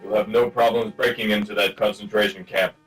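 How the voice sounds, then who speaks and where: An actor playing a stern, self-important secret service chief in a comic spy radio play. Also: male voice, lowish voice, level tone, brisk, a bit bright, recorded from a distance, soft background music, lightly acted. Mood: official, exasperated.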